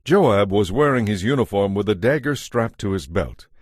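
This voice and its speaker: A man reads scripture as a narrator, formal and measured. His voice sits fairly low, and the sound is dry and close. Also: lively intonation, dry recording.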